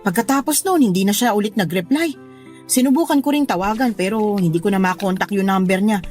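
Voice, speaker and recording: A woman playing a meek middle-aged mother in a radio drama, gentle and apologetic as she explains herself. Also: mid-range voice, fairly quick, natural voice. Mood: apologetic, explaining. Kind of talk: Tagalog radio drama acting. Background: soft music.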